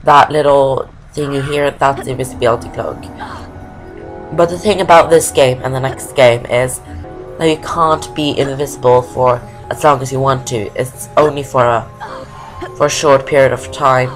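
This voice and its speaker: A woman with a very low voice narrates her video game in casual commentary, explaining each step as she goes. Faint game music plays behind her. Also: fairly even intonation, moderate pace, low-quality recording. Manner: chatty, explains steps, fillers like "like". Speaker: let's play gamer.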